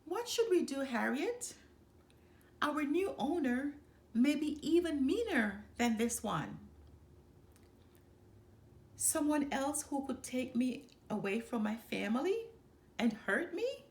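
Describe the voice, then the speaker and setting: Worried enslaved family member in a storybook, voiced by a woman, raised pitch, fearful, roomy sound. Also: big pitch swings, takes it slowly, pauses often, a little mellow, heavily acted. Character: anxious enslaved relative, fearful of being sold. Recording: roomy, echoing room.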